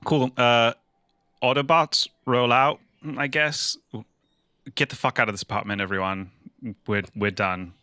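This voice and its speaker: A man's voice: medium pitch, steady tone, a little slow, bright, forceful tone, clean tone, dry recording, clean studio sound. A man playing a tabletop role-playing game, improvising narration and dialogue in a casual, wry and sweary way.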